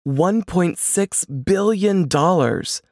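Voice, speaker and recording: A woman's voice: on the low side, animated, slow, a little mellow, no echo, studio quality, pitched above the performer's own voice, exaggerated voice. A woman voicing a grim, tense husband in an audiobook suspense story, terse and pained. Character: grim, tense husband who just lost lottery fortune. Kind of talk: audiobook character dialogue.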